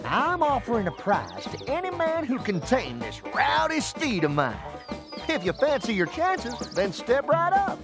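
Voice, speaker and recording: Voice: medium pitch, wildly swooping pitch, unhurried. An actor voicing a folksy adult male rodeo cowboy who drawls and calls everyone champ, in a cartoon game cutscene. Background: music.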